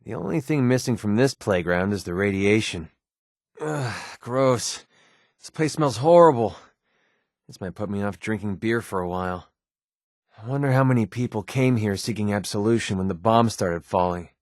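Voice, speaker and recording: A man's voice: lowish voice, lively intonation, moderate pace, darkish tone, no room sound, clean studio sound. An actor playing a sardonic young male mercenary in a video game, with an American accent and a casual, grumbling way of talking. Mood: wry, then alarmed, disgusted, then watchful. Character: wry young male mercenary sniper in a post-apocalyptic wasteland. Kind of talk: video game companion dialogue.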